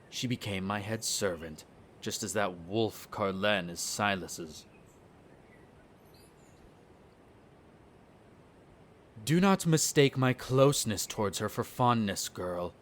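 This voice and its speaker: A man's voice: lowish voice, close to an everyday voice. A man playing a smug, cruel vampire noble in an audio roleplay, speaking in a haughty, condescending, formal drawl. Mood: condescending, amused. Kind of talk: scripted audio roleplay acting.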